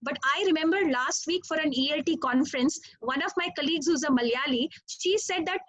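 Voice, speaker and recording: A woman's voice: sits high, a little fast, a bit bright, dry recording, muffled recording. A woman language teacher, talking earnestly on a panel, often adding "you know" and "all right?". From Dubai. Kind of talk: panel discussion.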